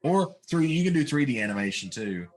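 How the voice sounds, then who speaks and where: A man's voice: medium pitch, very animated, a little fast, darkish tone. A middle-aged man chatting casually and giving his opinions as a talk show host.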